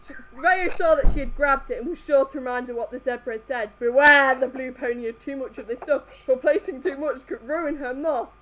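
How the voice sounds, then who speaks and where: A woman's voice: high voice, a little fast, hardly any pauses, a bit bright, a little hiss or hum, low-quality recording. A woman reading fan fiction aloud live, stumbling over the text as she goes. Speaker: reader in a group comedy reading.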